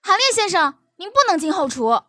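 A woman's voice: extremely high pitch, big pitch swings, a little fast, bright, forceful tone, exaggerated voice. An actress playing an officious young hotel staff woman in a Mandarin radio drama. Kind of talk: Mandarin audio drama acting.

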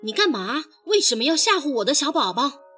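A woman's voice: sits high, expressive, normal speed, sharp tone, soft background music. A woman voicing a caring, anxious mother frog in a motherly manner for a children's story. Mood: angry. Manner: tender, fussing, motherly.

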